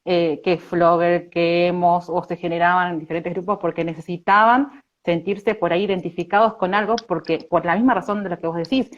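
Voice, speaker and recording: Low-voiced Argentine woman chatting. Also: steady tone, moderate pace, lo-fi sound. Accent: Argentine Spanish. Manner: conversational, adds examples.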